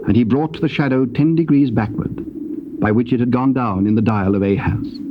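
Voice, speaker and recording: A male narrator reads scripture solemnly and formally at a medium pitch. His measured delivery sits on a dull old cassette recording with clearly audible noise.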